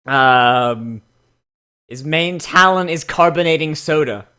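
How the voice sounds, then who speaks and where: A man's voice: fairly high pitch, takes it slowly, sharp tone, clean tone. A man giving casual, mocking comedic commentary as the host of a reading show. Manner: sarcastic, mocking.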